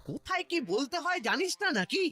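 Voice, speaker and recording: An actor voicing a meddling, teasing male minister at a royal court in an animated cartoon, needling and fawning. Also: very high voice, brisk, full character voice.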